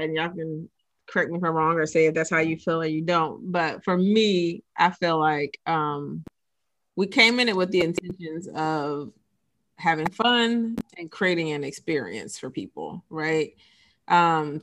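A woman co-hosting a podcast in casual chat, speaking reflectively with many thoughtful pauses. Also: low-pitched, fairly bright tone. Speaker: podcast co-host.

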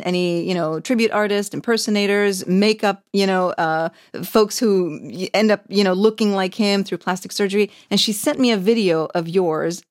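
Excited lady host gushing away, medium pitched voice. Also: dry recording, clean studio sound. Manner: enthusiastic, chatty. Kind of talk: casual interview.

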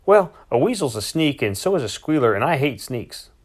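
A man reading aloud, voicing a spirited ranch girl with a fiery temper in a storybook, his pitch raised well above his own. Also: big pitch swings, moderate pace, breathy edge. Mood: feisty.

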